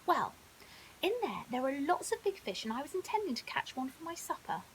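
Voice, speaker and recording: A clever, teasing female crocodile, voiced by a woman reading a bedtime story. She is high and lilting, smugly assured and polite, over a faint hiss. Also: very animated, a little fast, low-quality recording. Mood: breezy, requesting. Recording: audible hiss.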